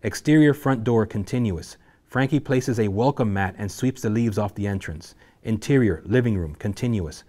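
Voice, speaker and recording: Low-voiced man reading screenplay directions. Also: normal speed, warm tone. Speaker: stage-directions narrator at a table read. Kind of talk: scripted narration of screenplay action.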